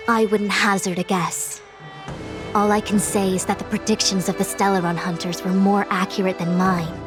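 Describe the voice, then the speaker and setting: A woman's voice: medium pitch, level tone, lightly acted. An actress as a formal, composed female strategist over music. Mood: grave, explaining. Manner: measured, formal, authoritative delivery. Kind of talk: scripted video game cutscene acting.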